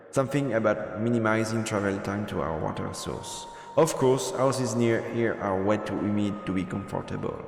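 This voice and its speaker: Casting: playful male let's-player, lively swoops and fry, reads game text aloud in an echoey space over music. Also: fairly low pitch. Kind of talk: game narration and casual commentary. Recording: roomy reverb, music behind.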